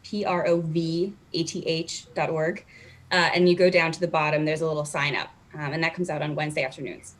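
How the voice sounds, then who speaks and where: A woman's voice: low-pitched, fairly even intonation, a little fast. A woman chatting casually as an interview guest on a video call, in a talkative, informal way. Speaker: library staff member, interview guest. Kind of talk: casual chat. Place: home, on a video call with a virtual background.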